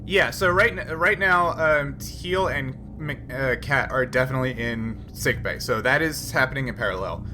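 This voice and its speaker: A man's voice: medium pitch, normal speed, few pauses, background noise. A man running a tabletop role-playing game, explaining rules and procedure as game master.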